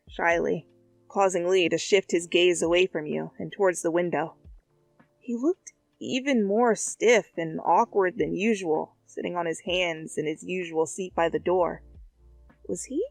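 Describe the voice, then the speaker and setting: A woman reading fan fiction aloud in an expressive, comedic style, with dramatic and conversational asides, close to the mic. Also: fairly low pitch, measured pace, vocal fry, no room sound. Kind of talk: expressive, comedic story narration. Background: faint background music.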